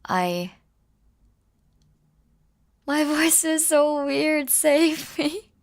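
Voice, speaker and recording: A woman streamer chats with her viewers in an unhurried, rambling way. She sounds slightly ill, so her voice comes out rough and lower than her normal, though it still sits fairly high for a woman. She giggles often and trails through fillers. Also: expressive. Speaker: live streamer. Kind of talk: casual live-stream chat.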